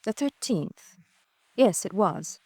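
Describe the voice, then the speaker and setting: A woman's voice: mid-range voice, animated, slow, warm tone, breathy edge, dry recording, full character voice. An actress playing a poised, well-to-do wife in her late thirties in an old-time radio drama, formal and defensive. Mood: reserved, formal. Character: poised, genteel wealthy wife in late thirties, guarded and affronted.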